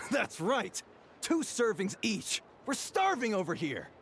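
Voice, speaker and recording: A man playing a jeering, greedy henchman sidekick in a video game, creaky and exaggerated. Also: high-pitched, sing-song, takes it slowly, a bit bright, slight background noise, exaggerated voice. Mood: gleeful jeering. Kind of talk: video game villain acting.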